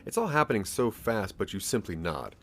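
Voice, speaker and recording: A man's voice: fairly low pitch, very animated, a little fast, background noise. A man narrating a scripted audio drama story, addressing the listener as its main character. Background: music.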